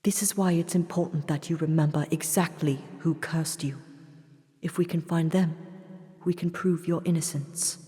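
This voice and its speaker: A woman playing a calm, grave doctor in an audio drama, speaking in a measured, composed way with breathy asides and sighs. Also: sits low, lightly acted. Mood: sombre, measured. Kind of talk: scripted audio drama acting. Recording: long hall-like reverb.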